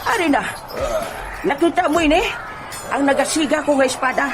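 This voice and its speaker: A woman plays an excitable leader of sword fighters in a comedic audio drama. The voice is very high, eager and theatrical, with music playing behind it. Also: brisk, close to an everyday voice. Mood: boastful, urgent. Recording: loud music bed behind voice.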